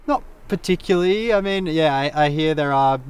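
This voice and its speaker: A man's voice: on the high side, normal speed, clear, resonant tone, recorded from a distance. A young man from Australia, laid-back and hesitant as he answers questions outdoors. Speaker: interviewed traveler. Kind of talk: casual interview. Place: outdoors by a mountain lake.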